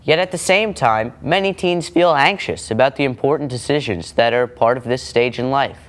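A teenage boy co-hosts a TV show from a studio set. His mid-pitched voice stays steady and rehearsed through the scripted lines. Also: normal speed. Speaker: co-host. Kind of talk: scripted TV presenting. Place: indoor TV studio.

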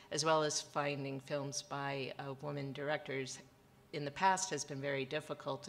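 Low, creaky-voiced American professor woman. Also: a bit bright, a little hiss or hum. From United States. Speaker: professor, panelist. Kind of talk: panel self-introduction. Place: event hall with a panel on stage.

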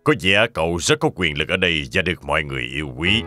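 A man's voice: extremely low pitch, lively intonation, fast, over music. An actor playing a genial, formal old king in a fairy-tale cartoon. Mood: stately, pleased.

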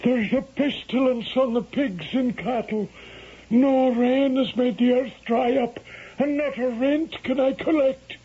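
An anxious overseer in an old radio drama. His voice is extremely high and husky, hesitant and deferential to his master. The sound is dull. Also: steady tone, measured pace, full character voice. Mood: distressed. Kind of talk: 1970s radio drama acting. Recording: dull vintage radio recording.

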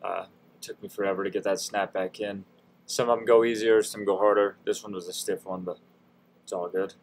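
A man's voice: lowish voice, flat delivery, a little fast, a little hiss or hum. A man giving a casual, folksy how-to voice-over in an informal, self-deprecating way. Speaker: DIY tutorial host.

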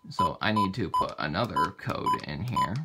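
A man giving a casual, halting how-to walkthrough, thinking aloud as he demonstrates a product. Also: lowish voice, huge pitch swings, over loud music. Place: indoors at a table. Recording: very noisy home video, clicks and beeps.

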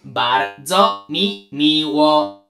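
A woman's voice: low voice, animated, drawn-out words, loud music behind the voice, full character voice. A woman playing a friendly robot eager to be liked in a children's audio drama. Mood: friendly, pleased.

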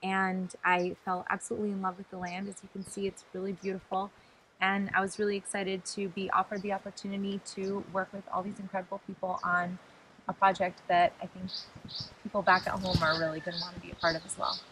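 Young woman from the US, flat-ish even delivery, upbeat intro in a garden. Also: medium pitch, quick pace, rough recording. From United States. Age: young adult. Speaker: marketing manager. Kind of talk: casual self-introduction. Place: outdoor rose garden.

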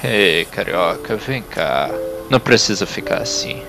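An actor voicing a laid-back male patrol member in an audio drama, speaking in an easygoing, calming way. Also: low-pitched, measured pace, creaky, faint music behind, full character voice. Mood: comforting.

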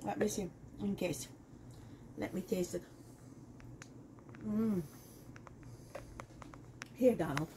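A woman's voice: medium pitch, slow, long pauses, background noise. A middle-aged woman, a native Armenian speaker, chatting casually through a cooking demo in her home kitchen, with loose phrasing and many fillers. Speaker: cooking show host selling kitchenware.

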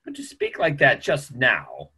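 A middle-aged man plays a grave doctor in a stage play reading. The voice is husky and animated in pitch. He is formal, courteous and emphatic. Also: a little slow, full character voice. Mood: courteous, serious. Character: grave, courteous male doctor, firm in his opinions. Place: small room at home, video call.

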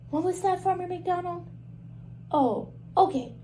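A woman in her thirties teaching toddlers in a video, talking to the children in an animated, exaggerated way with gasps and questions, filmed at close range in a small room. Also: very high voice, slow, slight background noise.

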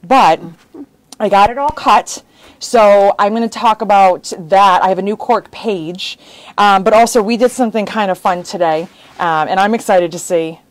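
Thirties woman, chatty shop owner on a live stream, quick to laugh. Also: medium pitch, dry recording, low-quality recording. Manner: chatty, laughs often, jumps between topics. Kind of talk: casual live stream chat. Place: indoor sewing shop studio with bags on shelves.

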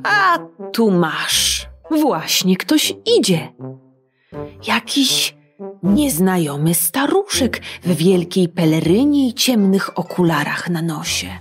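Slow, expressive woman reading a children's tale. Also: lowish voice, soft background music. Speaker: storyteller.

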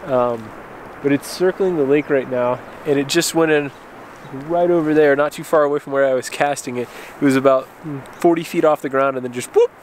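A man's voice: a little high, fairly quick. A man in his thirties with an American accent, chatting casually and rambling to camera as an outdoor vlogger. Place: outdoors in mountain wilderness. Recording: outdoor ambient noise, wind.

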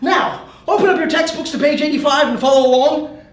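A man's voice: very high-pitched, fairly quick, voice pushed up. A man playing a manic, condescending math teacher with an erratic, mocking manner. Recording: roomy echo.

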